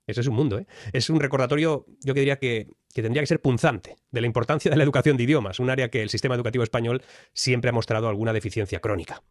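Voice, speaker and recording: A man's voice: medium pitch, very fast, no room sound, clean studio sound. A man from Spain, delivering fluent, emphatic analytical commentary. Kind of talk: explanatory commentary.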